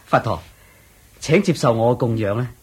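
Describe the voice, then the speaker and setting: Husky-voiced poor young husband. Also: male voice, mid-range voice, animated, normal speed, darkish tone. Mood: humble, earnest. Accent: Hong Kong Cantonese. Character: poor, devout, worried young husband and father. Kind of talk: Cantonese-language Buddhist radio drama acting.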